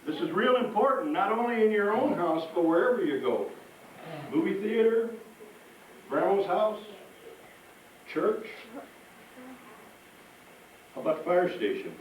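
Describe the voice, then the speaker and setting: Highish-voiced firefighter in a very echoey room. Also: male voice, expressive, moderate pace, long pauses, lo-fi sound. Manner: folksy, instructive, checks in with "okay?". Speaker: firefighter presenting to children. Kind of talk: interactive safety talk to schoolchildren. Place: large echoey room with an audience. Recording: very echoey room, distant, faint noise.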